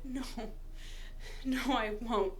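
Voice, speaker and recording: A woman playing a frightened, wounded but defiant heroine in an audio drama, gasping, halting and pleading weakly. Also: a little high, a little hiss or hum. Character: frightened, wounded, defiant woman begging her attacker for mercy.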